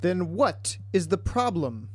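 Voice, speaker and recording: Actor as an earnest higher-voiced male programmer. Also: sing-song, slow, husky, no echo, heavily acted. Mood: formal. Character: earnest, impatient computer programmer on a solemn mission. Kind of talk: comic science fiction radio drama.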